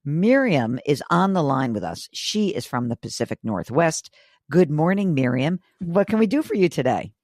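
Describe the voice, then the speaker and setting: Woman host, hoarse and raspy, brisk and direct, lively pitch, dry close sound. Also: no room sound. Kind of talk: call-in money advice show.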